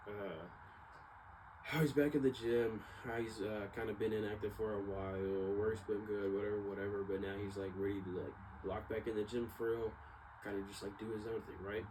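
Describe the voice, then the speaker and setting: A young man with a fairly low voice talks through his thoughts in a casual vlog style. His speech is slangy and loose, circling back over points and trailing off. Noticeable ambient noise sits behind him throughout. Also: fairly even intonation, a little fast, a little mellow. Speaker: vlogger. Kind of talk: casual rambling personal monologue. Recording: clearly noisy background ambience.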